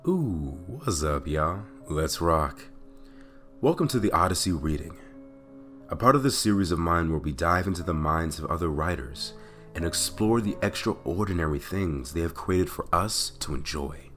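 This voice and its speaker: Male podcast narrator for creepy tales: low register, dramatic emphasis, guitar music underneath. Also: expressive, normal speed, a bit bright. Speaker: podcast host and story narrator. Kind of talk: dramatic reading of creepypasta stories.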